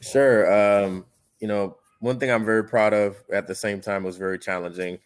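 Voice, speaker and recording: A man's voice: fairly low pitch, steady tone, normal speed, clean tone. A man from Haiti telling his story earnestly as a panelist on a video call, with many fillers and restarts.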